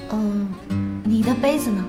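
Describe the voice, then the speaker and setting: A woman's voice: mid-range voice, a little slow, music in the background, natural voice. An actress playing a curious young woman in a Chinese radio drama, talking casually and inquisitively. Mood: friendly.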